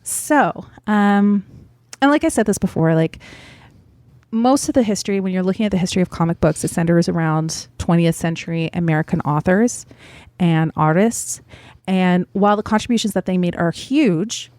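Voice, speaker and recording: A woman's voice: fairly low pitch, normal speed, darkish tone, no room sound. A woman co-hosting a podcast, explaining comic book history in a chatty way with fillers and small laughs. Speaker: podcast co-host. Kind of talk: casual explanatory podcast chat.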